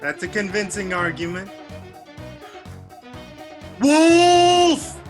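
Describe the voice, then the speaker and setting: A woman voicing the grown-up boy who cried wolf, shouting his warnings. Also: very animated, extremely slow, faint music behind. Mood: insistent. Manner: shrill, insistent shouting. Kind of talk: amateur radio play acting.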